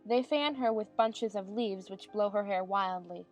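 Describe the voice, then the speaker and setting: A woman's voice: mid-range voice, a little slow. Woman narrator over background music. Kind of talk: scripted narration. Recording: music behind the voice.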